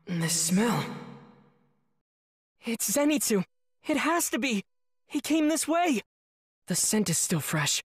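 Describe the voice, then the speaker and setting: A man voicing a kind, earnest teenage boy in an anime dub, assured and reassuring, with a slightly husky voice. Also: very high-pitched, sing-song, slow pace, long pauses, dry recording, clean studio sound, full character voice. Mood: focused.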